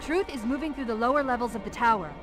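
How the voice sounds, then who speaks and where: An actress playing a confident female artificial intelligence in a video game, giving brisk, crisp tactical guidance. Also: a little high, over loud music, lightly acted. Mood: brisk, determined. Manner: crisp, urgent tactical instructions.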